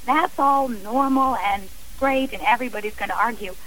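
A woman's voice: on the high side, normal speed, fairly bright tone, a little hiss or hum, poor audio. A woman speaking as an interview guest on radio, earnest and emphatic, explaining with examples and repeated phrasing. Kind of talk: radio interview, personal and advisory talk.

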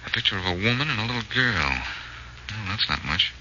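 A muffled, noisy vintage radio recording carries a man acting as a confident detective with a low voice that swings widely in pitch. He is brisk and wry, reasoning aloud in the manner of forties radio mystery drama. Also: animated, natural voice. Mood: excited deduction. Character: confident, sharp-witted male amateur detective.

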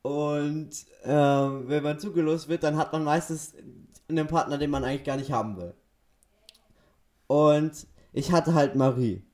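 Young male podcast co-host, slightly high-pitched, casual, stumbles and restarts while telling stories. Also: darkish tone. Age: teenager or young adult. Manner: halting, self-correcting storytelling. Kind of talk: casual chat.

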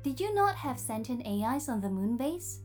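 A woman playing a cheeky, breezy, self-assured AI therapist in an audio drama, with a playful, teasing, brisk manner. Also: sits high, very animated, darkish tone, soft background music. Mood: probing.

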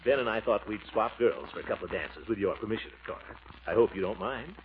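An actor playing a pompous, easily wounded middle-aged gentleman suitor in an old radio comedy, with a chuckling, fruity, mock-courtly delivery. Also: on the low side, expressive, fast, slight background noise, natural voice. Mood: hopeful, awkward. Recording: tinny old radio recording, music behind.

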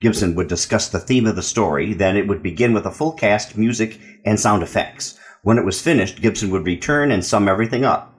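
A man's voice: on the low side, brisk. A man, a radio co-host reading scripted background information.

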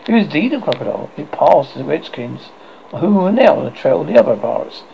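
Guy reading a storybook out loud, gravelly, lively pitch, trips over words, dull lo-fi sound w faint hiss. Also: fairly quick. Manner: unpolished reading with frequent stumbles and restarts. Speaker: audiobook reader. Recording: dull lo-fi recording with faint noise.

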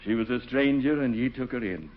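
A man's voice: medium pitch, lightly acted. An actor playing a courtly, sanctimonious older clergyman, formal and slightly absent-minded, in a 1940s radio comedy. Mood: solemn, courteous. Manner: formal, scripture-quoting, measured. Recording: muffled 1940s radio broadcast.

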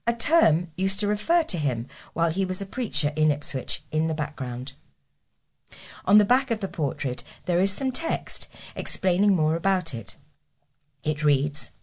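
A woman reads local news from a script as a volunteer newsreader. Her voice is a little lower than most women's. The delivery is that of read-aloud news copy. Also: a little mellow.

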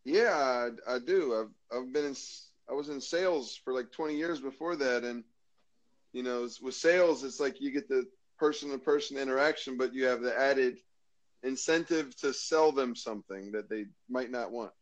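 An American man from Maryland, a guest in a casual interview, talking in a rambling, halting way. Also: medium pitch. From Maryland, USA. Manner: rambling, halting, lots of fillers and restarts.

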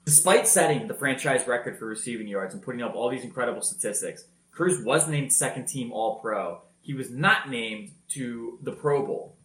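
Energetic man recapping football, roomy sound. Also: medium pitch, normal speed, few pauses. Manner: energetic storytelling, loud voice. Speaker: podcast co-host. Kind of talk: casual sports recap.

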